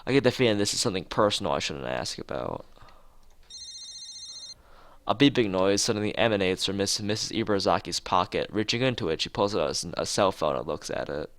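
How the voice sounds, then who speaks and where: Low-voiced man narrating with lively pitch. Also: fairly quick, dry recording. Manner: stumbles over words and corrects himself while reading. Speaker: streamer playing a visual novel. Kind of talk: reading game text aloud with casual asides. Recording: music behind the voice. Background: game background music.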